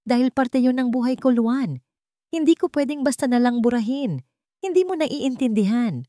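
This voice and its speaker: A hurt young woman acted out by a female narrator defends herself emphatically in a story drama. Her voice lifts higher with wounded defiance, in a very dry recording. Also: animated, moderate pace, warm tone, no room sound.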